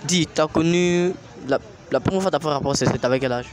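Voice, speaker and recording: A man's voice: fairly high pitch, a little fast, over music. A man interviewing passers-by on the street, asking short, teasing questions. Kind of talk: vox pop street interview. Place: busy street outdoors. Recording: noisy street with traffic.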